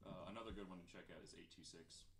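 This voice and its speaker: A man's voice: low-pitched, racing, fairly bright tone, a little hiss or hum. A man chatting casually as part of a discussion panel. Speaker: podcast panelist. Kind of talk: casual chat.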